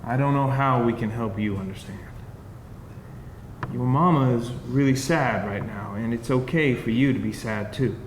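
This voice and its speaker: A man playing a gentle, protective grandfather, tender and reassuring, in a live screenplay reading. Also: medium pitch, moderate pace, natural voice. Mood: gentle, consoling. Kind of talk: live screenplay table read. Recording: roomy live hall, faint noise.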